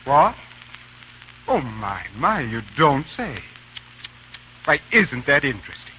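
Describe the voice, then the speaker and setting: An actor playing a flustered, fussy household assistant in 1930s radio comedy. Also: male voice, medium pitch, slow pace. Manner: fussy, excitable. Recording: muffled, hissy 1930s radio recording.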